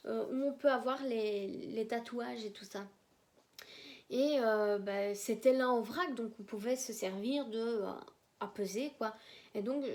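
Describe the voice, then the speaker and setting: A woman's voice: fairly high pitch, darkish tone. Young woman vlogging. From German-speaking Belgium. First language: German. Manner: rambling, repeats herself, hesitant. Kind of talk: casual storytelling to camera.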